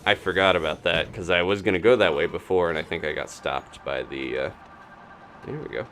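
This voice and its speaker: A man's voice: sits low, brisk, fairly bright tone, a little hiss or hum. A man doing casual live video game commentary, thinking aloud in a playful, rambling, muttering way.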